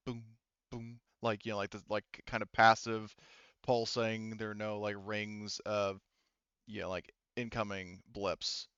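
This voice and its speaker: A man's voice: lowish voice, a bit bright, clear, resonant tone, no echo, highs cut off. A man acting as game master, narrating a tabletop roleplaying game in a casual, hesitant way. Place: indoors, online voice session. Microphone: headset.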